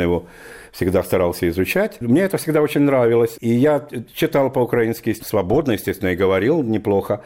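Brisk, low-voiced man from Ukraine. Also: darkish tone. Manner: thoughtful, frequent verbal fillers. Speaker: writer being interviewed. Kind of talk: relaxed conversational storytelling.

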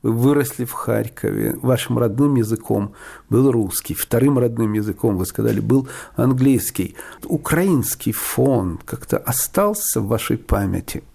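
A man working as a radio interviewer, asking his questions in a measured, formal way. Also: medium pitch, lively intonation, vocal fry, dark tone, breathy edge. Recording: radio broadcast with faint music.

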